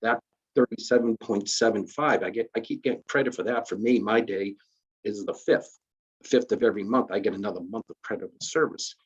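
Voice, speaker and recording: A man's voice: fairly low pitch, slightly rough, muffled recording. A man, walking through retirement rules on a video call, conversational and full of restarts. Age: 50s. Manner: conversational, many restarts and fillers, explains step by step. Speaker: retirement system administrator. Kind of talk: casual explanatory presentation. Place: virtual benefits fair call.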